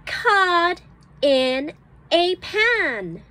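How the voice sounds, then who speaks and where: Very slow, high-pitched woman teacher reading aloud. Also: very animated, bright, forceful tone. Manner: deliberate, carefully enunciated word by word. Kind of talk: slow read-aloud of an early reader for children.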